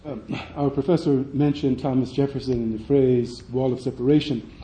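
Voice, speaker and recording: A man, a student on a panel at a public forum, speaking haltingly and restarting words. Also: medium pitch, fairly even intonation, dark tone, slight background noise, rough recording.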